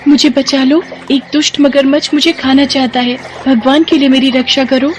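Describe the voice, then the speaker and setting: A woman voicing an earnest magical golden fish, pleading and kindly, in an animated moral story. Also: sits high, normal speed, noisy background, voice pushed up, close to an everyday voice. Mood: frightened pleading.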